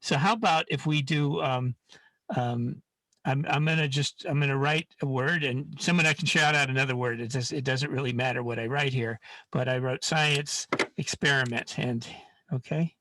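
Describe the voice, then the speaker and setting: A man's voice: mid-range voice, fairly even intonation, moderate pace, bright, forceful tone, dry recording, rough recording. A man leading an informal live online science lesson in a hesitant, rambling way, often restarting his sentences. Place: online video call.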